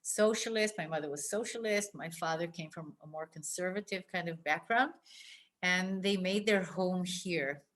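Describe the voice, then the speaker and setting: An older woman from Israel giving an informal, personal lesson, speaking reflectively and pausing often mid-phrase. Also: fairly low pitch. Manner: reflective, halting delivery with pauses mid-phrase. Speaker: teacher, scholar.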